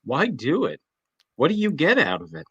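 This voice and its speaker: Man reading as a skeptical detective, blunt questions, lively pitch, bright voice. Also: normal speed, breathy edge, dry recording, dull audio, exaggerated voice. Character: skeptical male police detective questioning a killer.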